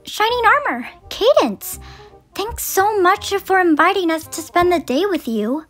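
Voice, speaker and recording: A woman's voice: very high-pitched, big pitch swings, a little slow, fairly bright tone, exaggerated voice. A woman voicing a studious, earnest young pony princess in a cartoon dub, articulate, with music behind. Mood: cheerful. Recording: music under the voice.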